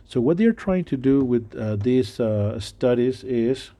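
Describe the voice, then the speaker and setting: Middle-aged male preacher, non-native English accent, halting delivery, warm mellow voice, mid pitch. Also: expressive. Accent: non-native English speaker. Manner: halting, many fillers and restarts. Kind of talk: sermon.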